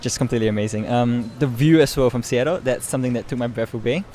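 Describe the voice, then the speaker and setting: A man's voice: medium pitch, quick pace, dark tone. A young man from Singapore giving informal interview answers as a guest, searching for his words as he goes. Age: young adult. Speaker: interview guest, medical student and competition winner. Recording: clear background noise, urban ambience.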